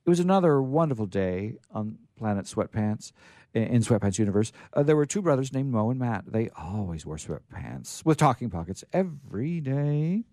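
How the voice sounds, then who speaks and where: A man with a slightly low voice hosts a radio story hour, reading aloud in a casual, loose way. He trips over words and slips into asides. The sound is dry, with little room around it. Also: very animated, moderate pace, warm tone, no room sound. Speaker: radio story show host.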